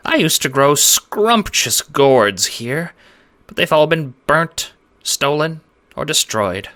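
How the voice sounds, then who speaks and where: A man voicing a small, timid, squeaky-voiced shelled farmer creature in a read-aloud story. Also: very animated, takes it slowly, pushed and bright, heavily acted. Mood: glum.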